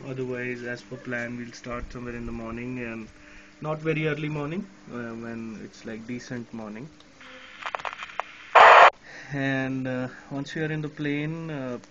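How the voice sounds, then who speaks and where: A man's voice: medium pitch, highs cut off, noisy background. Chatty Indian man on a ham radio. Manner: chatty, laughing often, mixing in Hindi. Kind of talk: casual radio chat. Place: outdoors on a balcony at night in the rain.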